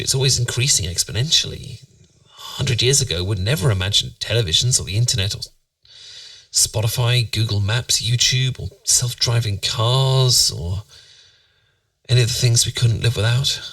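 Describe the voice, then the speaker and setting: A British man playing a tender, playful boyfriend in a bedtime ASMR roleplay, musing softly and intimately to soothe his partner to sleep. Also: mid-range voice, moderate pace, dry recording, distant mic, lightly acted, rough recording. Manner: soft, intimate, soothing, musing, occasionally near whisper.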